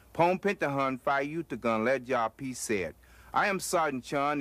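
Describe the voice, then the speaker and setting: A man's voice: medium pitch, fairly bright tone, no echo. A man serving as an army sergeant, reciting a formal scripted introduction of his role and training.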